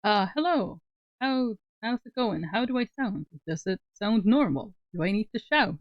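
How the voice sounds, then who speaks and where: A woman streamer chatting on a call, soft-spoken and laughing often. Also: mid-range voice, expressive, moderate pace, dry recording, muffled recording. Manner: giggly, laughs often, speaks softly. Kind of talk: casual livestream chat on a call.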